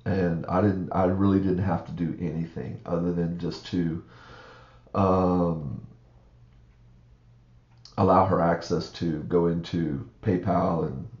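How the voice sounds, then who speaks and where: A man's voice: deep voice, darkish tone, echoey room, lo-fi sound. A man with a froggy, groggy morning voice, rambling through a casual screen-share walkthrough with many fillers. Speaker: online reseller vlogger.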